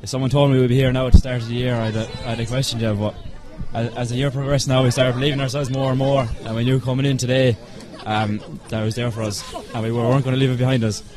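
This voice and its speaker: A teenage man with an Irish accent, a school hurler speaking excitedly and casually into a handheld mic outdoors on a stadium pitch. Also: fast talker, few pauses, crowd noise. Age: teens. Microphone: interviewer's handheld mic.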